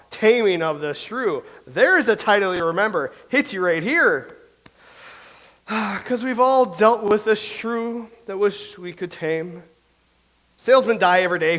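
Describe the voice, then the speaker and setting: An actor playing a nervous, self-doubting Elizabethan playwright who stammers and speaks theatrically in a staged reading. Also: male voice, high-pitched, fairly bright tone, rough recording. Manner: theatrical, fretful, stammering soliloquy. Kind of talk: staged reading of a comedy play.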